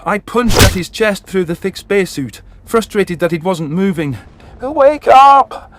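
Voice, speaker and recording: A man's voice: sits high, moderate pace, background noise. A man narrating a science fiction story in the first person with dramatic delivery. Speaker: audiobook narrator. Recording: narration over background music.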